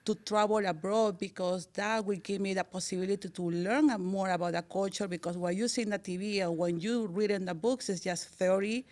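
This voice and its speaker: A woman's voice: medium pitch, fairly even intonation, brisk, few pauses, a little mellow. A woman from Peru with a Peruvian Spanish accent, a native Spanish speaker, answering interview questions politely and carefully, sometimes searching for words. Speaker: guest, city communications coordinator.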